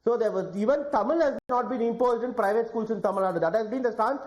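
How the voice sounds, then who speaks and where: Woman on a debate panel explaining her side calmly, roomy echoey sound. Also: on the high side. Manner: measured, explanatory. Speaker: party representative panelist.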